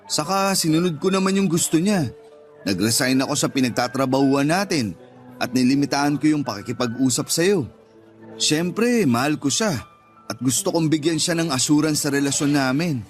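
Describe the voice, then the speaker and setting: A man with a Filipino accent playing a loyal, soft-hearted friend in a radio drama, talking earnestly. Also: medium pitch, close to an everyday voice.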